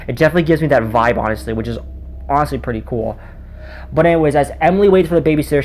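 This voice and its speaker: A man's voice: mid-range voice, darkish tone. Man rambling through a casual review, noisy recording. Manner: rambling, stumbling over words. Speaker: video reviewer. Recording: noticeable background noise.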